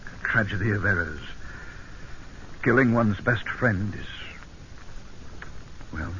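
Guarded colonel, sharp old-radio sound. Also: male voice, lowish voice, slow pace. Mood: subdued, regretful. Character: British army colonel, guarded, professing remorse over killing a friend. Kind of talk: 1940s-50s radio drama acting with narration. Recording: old hissy, muffled radio broadcast recording.

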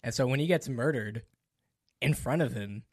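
Man, casual chat, animated scene recaps with laughter, dry close sound. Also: mid-range voice, normal speed, husky, no room sound. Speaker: film discussion co-host. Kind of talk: casual film discussion.

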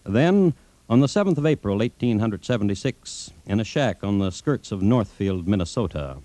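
A man narrating an old radio western in a measured, dramatic, folksy storytelling style. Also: on the low side, animated, dark tone, close to an everyday voice. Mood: wry, storytelling.

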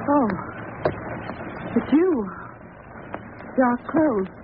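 A woman's voice: sits high, lively intonation, extremely slow, full character voice. An actress playing a frightened young woman held captive, in melodramatic 1940s radio acting. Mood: frightened, defiant. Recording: noisy, tinny old radio recording.

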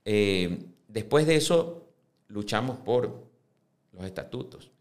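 A man in his fifties answering interview questions on a podcast, speaking in a measured way that often restarts and repeats words as he builds his points. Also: lowish voice, animated. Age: 50s. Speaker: club presidential candidate.

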